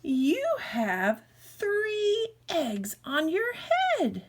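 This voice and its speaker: A woman's voice: very high-pitched, huge pitch swings, extremely slow, pitched above the performer's own voice, full character voice. A woman voicing a cheerful, helpful little female pig in a children's storybook, in a matter-of-fact singsong. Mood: excited. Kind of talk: children's storybook read-aloud.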